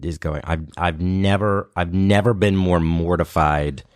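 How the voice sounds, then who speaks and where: A man's voice: low-pitched, steady tone, a little slow, smooth, no echo, clean studio sound. A man in his thirties telling a casual, comic personal story as a podcast guest. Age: 30s.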